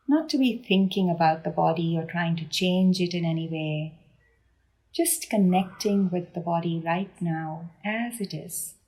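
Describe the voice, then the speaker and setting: A woman leading a guided meditation in a gentle, unhurried manner with deliberate pauses between phrases. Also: medium pitch, poor audio. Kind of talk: guided body scan meditation.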